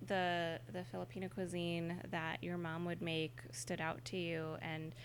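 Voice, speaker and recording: A woman's voice: fairly low pitch, completely flat delivery, a little slow. Woman interviewing casually, faint hum.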